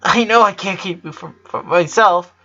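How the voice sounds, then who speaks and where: A man voicing a distressed, clingy tomboyish teenage big sister in a story reading, halting and pleading. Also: high-pitched, gravelly, pushed and bright, dull audio, voice pushed up, heavily acted. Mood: desperate, tearful.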